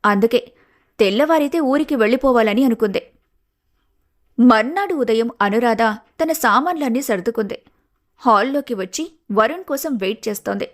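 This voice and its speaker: Slightly high-pitched woman narrating a story. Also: lively intonation, normal speed, long pauses.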